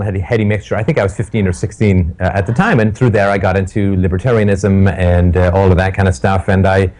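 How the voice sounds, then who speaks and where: Low-voiced middle-aged man, vivid storyteller. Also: a little mellow. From born in Ireland, raised in England and Canada. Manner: vivid, colorful phrasing with wild metaphors. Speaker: interview guest. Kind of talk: storytelling interview answer.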